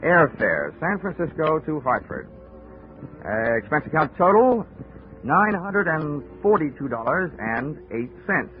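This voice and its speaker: An actor playing a wry, hardboiled investigator with deadpan wisecracks in a 1940s radio drama. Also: male voice, fairly high pitch, unhurried, phone-quality sound, faint music behind. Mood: dry, wry. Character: wry, hardboiled male insurance investigator narrating his case. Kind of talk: 1940s radio acting, detective narration. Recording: band-limited old radio recording.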